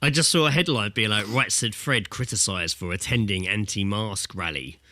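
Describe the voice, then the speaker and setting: Casual podcast co-host: southern English man, bright chatty voice, jokey rambling delivery, close and dry sound. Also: lowish voice, moderate pace, no echo. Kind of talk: casual comedic podcast chat.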